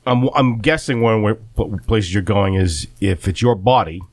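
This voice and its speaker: A man's voice: on the low side, normal speed, a little mellow, no room sound. A man co-hosting a casual radio discussion, feeling his way through points in hesitant, roundabout phrasing. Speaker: radio co-host.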